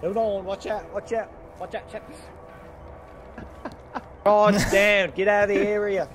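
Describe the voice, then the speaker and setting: A man's voice: high voice, steady tone, slow pace. A man playing squad leader in an online game, bantering and barking orders in a sweary, mock-military manner. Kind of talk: playful military role-play banter while gaming. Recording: loud music and gunfire behind. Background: music, game gunfire.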